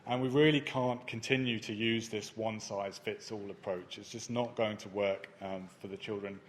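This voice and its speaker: A man presenting his research at a conference, speaking in a measured way with frequent ums and uhs. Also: on the low side, fairly even intonation, slight background noise. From not Germany. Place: conference hall.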